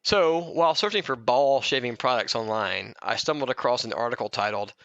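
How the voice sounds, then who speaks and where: A man's voice: medium pitch, brisk, a bit bright, no echo, lo-fi sound. A man co-hosting a loose comedy podcast, chatting in a wry, self-deprecating and casually crude way. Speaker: podcast co-host.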